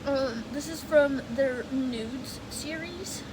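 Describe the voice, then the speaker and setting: A woman's voice: high-pitched, slow pace. Stuffy-nosed young woman chatting, fan humming. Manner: chatty, rambling, self-correcting. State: stuffy nose, sniffling. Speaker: vlogger. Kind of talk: casual vlog chat. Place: bedroom at home with AC and fan running. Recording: loud fan and AC noise behind.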